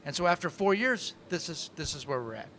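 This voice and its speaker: A man from the United States explaining the origins of a training program on a panel, measured and earnest. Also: a little high, very animated, bright, forceful tone, a little hiss or hum. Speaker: program founder.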